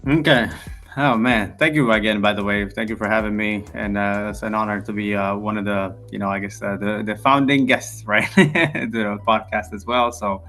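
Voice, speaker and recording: A man from Ethiopia talks through his story as an interview guest, his voice sitting low. He rambles, backtracks and fills gaps with ums and "you know". Faint music plays underneath. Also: fairly even intonation, normal speed, pushed and bright. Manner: rambling, many fillers and restarts. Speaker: podcast guest, real estate founder. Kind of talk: casual interview answers.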